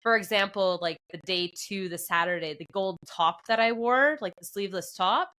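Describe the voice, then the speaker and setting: A woman from Canada with an English Canadian accent, co-hosting a podcast in chatty, animated storytelling. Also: medium pitch, moderate pace. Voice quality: drops P sounds at the start of words. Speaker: podcast co-host. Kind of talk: casual chat.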